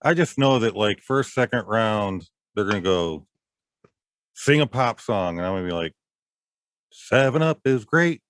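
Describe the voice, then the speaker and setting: A man with a fairly low voice chats easily and makes jokes at his own expense. The sound is dry and close, with no room echo. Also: expressive, a bit bright. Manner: easygoing, self-deprecating, quick with jokes. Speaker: podcast co-host. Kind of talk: casual chat.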